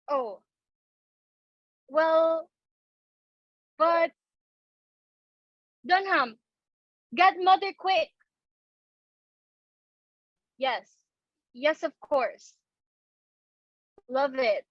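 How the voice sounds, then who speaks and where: A woman's voice: sits high, expressive, drawn-out words, pauses often, dry recording, dull audio, heavily acted. A woman playing an anxious, earnest young society daughter in a dramatic reading of a stage comedy, with a pleading, theatrical delivery. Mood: flustered.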